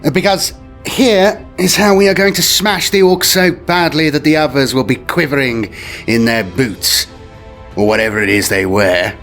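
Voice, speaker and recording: A man's voice: medium pitch, normal speed, fairly bright tone, pitched above the performer's own voice, lightly acted. A man voicing a commanding upper-class space navy admiral, formal and theatrical with genteel old-fashioned slang, in an audio story over music. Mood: jaunty, rallying. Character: upper-class naval Lord Admiral briefing officers, commanding and jaunty. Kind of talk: dramatised audio story briefing.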